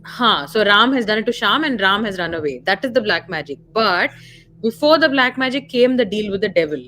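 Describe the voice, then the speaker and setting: A woman's voice: mid-range voice, lively intonation, fairly quick, sharp tone, soft background music. A woman with an Indian accent in a casual discussion, speaking as a panelist who clarifies and stresses her points. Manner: emphatic, corrective, clarifying. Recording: noisy live stream audio.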